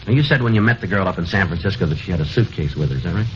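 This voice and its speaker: An actor playing a terse, deadpan middle-aged police sergeant who speaks in clipped, matter-of-fact lines, in 1950s radio drama style on an old recording. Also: male voice, sits low, rapid-fire. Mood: flat. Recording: dull, hissy 1950 radio transcription.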